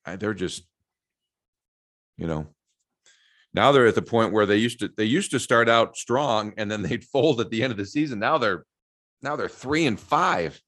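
Laid-back low-voiced American man. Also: animated, moderate pace, long pauses, fairly bright tone, dry recording, clean studio sound. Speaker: sports talk co-host. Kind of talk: casual sports chat.

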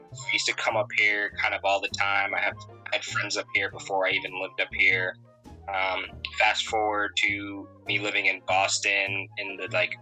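A man's voice: on the low side, sharp tone, poor audio. A man in his thirties from Connecticut, a guest telling stories in a casual, rambling way with many fillers. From Connecticut, USA. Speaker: interview guest, musician and photographer. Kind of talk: casual conversational storytelling.

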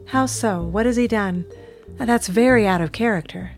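An actress playing a gossipy, loyal married office woman, chatty, inquisitive and expressive, in an audio drama. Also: mid-range voice, moderate pace, faint music behind, close to an everyday voice. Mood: concerned, curious.

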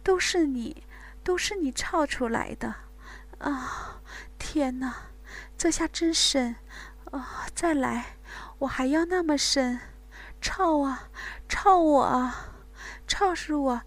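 A woman voicing a passionate adult woman in an intimate scene, with breathy, high moaning cries. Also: slow.

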